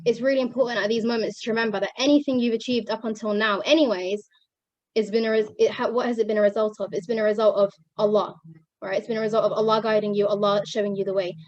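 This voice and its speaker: A woman's voice: medium pitch, fairly even intonation, fast talker, a little mellow, no echo, muffled recording. A young woman from Pakistan whose first language is Urdu gives an earnest testimonial to fellow students, with many fillers and restarts. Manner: earnest, many fillers and restarts, switches between Arabic and English.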